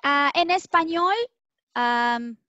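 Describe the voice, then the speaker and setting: Young woman interpreter, measured and slow; dull dry laptop-mic call sound. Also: high voice, pushed and bright, no room sound. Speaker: Spanish interpreter. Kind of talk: interpreting practice on a video call. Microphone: laptop mic on video call.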